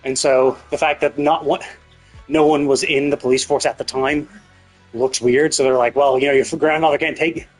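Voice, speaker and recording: A man's voice: mid-range voice, fairly even intonation, moderate pace, soft background music, rough recording. A man giving casual live game stream commentary, musing aloud in a rambling way and rephrasing as he goes.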